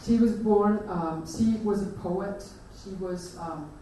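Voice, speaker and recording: A woman with an Icelandic accent gives a conference talk, pausing often and restarting her sentences. Her voice is mellow and dark. It rings through a large, echoey hall, picked up from a distance with faint noise behind. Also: medium pitch. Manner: hesitant, many fillers and restarts. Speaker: conference speaker. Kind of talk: presentation talk.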